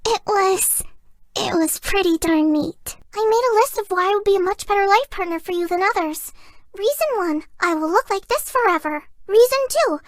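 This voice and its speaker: Game role, young female kitsune: tiny sky-high girlish voice, earnest, playful, easily flustered, close dry sound. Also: animated, moderate pace, dry recording. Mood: shy, playful. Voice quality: girlish, cutesy. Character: shy, sweet, excitable young female kitsune fox spirit. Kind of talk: video game character voice lines.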